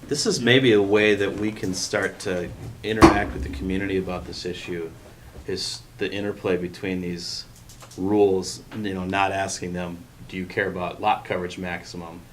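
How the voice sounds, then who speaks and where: A man's voice: deep voice, little pitch movement, normal speed. A man talking through examples and reasoning in an explanatory way during a meeting discussion. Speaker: public meeting participant. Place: indoor meeting room. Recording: noisy meeting room recording.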